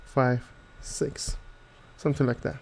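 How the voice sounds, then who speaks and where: A man's voice: mid-range voice, level tone, takes it slowly, vocal fry, darkish tone. A man working as a chemistry tutor, narrating a methodical step-by-step tutorial.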